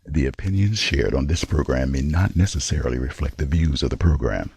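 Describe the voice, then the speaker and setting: Man announcer reading a disclaimer, super low rough voice, raspy. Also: fairly quick, a little mellow, dry recording. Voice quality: very deep gravelly voice. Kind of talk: scripted disclaimer read.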